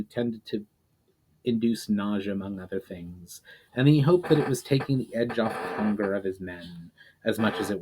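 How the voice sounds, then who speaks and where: Guy doing a dramatic read of a fantasy novel, deliberate, trips on a word now and then. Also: mid-range voice, darkish tone, poor audio. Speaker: writer reading own novel aloud. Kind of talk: dramatic audiobook-style narration of a fantasy story.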